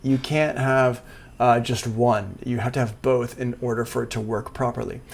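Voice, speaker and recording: Gravelly man giving a casual software tutorial. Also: mid-range voice, fairly even intonation, normal speed. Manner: casual, informal. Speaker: music production instructor. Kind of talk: live software tutorial, thinking aloud. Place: indoors, livestream class.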